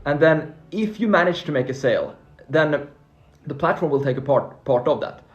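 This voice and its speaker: A man's voice: a little high, lively intonation, normal speed, lo-fi sound. A man from Finland whose first language is Swedish, hosting a casual live Q&A about freelancing and answering viewer questions in a friendly, upbeat way. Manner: upbeat, repeats words and restarts while reading viewer comments. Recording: faint background music.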